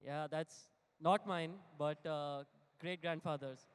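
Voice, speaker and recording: A man's voice: on the high side, fairly even intonation, slow pace, clear, resonant tone. A man in his twenties with an Indian accent, speaking casually as a co-founder on a panel. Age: 20s. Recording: echoey hall over microphone.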